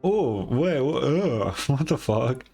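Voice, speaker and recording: A streamer with a very animated delivery talks slowly over game music. The reactions are casual and incredulous, with stammering and plenty of swearing. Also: mid-range voice, vocal fry, husky.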